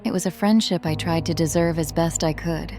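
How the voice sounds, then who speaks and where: A woman playing a haunted, protective former street criminal in a drama, speaking in an earnest, halting, confessional way. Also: on the low side, darkish tone, lightly acted. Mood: tender, resolute. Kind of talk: dramatic audio drama acting.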